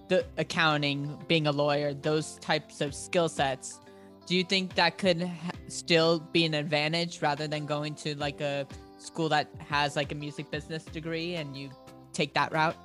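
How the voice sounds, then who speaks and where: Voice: medium pitch, a bit bright. A podcast host presenting a guest and asking interview questions. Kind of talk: scripted introduction, then interview questions.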